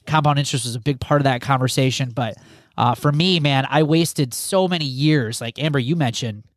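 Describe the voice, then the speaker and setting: A man's voice: mid-range voice, brisk, no room sound, clean studio sound. A man hosting a podcast, talking casually and rambling with many restarts. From United States. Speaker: podcast host.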